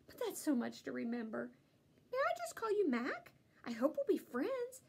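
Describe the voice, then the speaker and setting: Woman as a high-pitched, eager, friendly storybook dog. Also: huge pitch swings, moderate pace, vocal fry, a little mellow, heavily acted. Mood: friendly, chatty. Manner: sweet, earnest, chatty. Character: friendly, eager, chatty female shaggy red dog. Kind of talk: children's storybook character reading.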